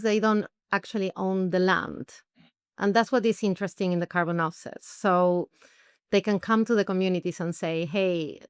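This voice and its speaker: A woman from Peru, an NGO research head, explaining things in an interview with measured, rhetorical questions. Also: medium pitch, smooth, no room sound, clean studio sound.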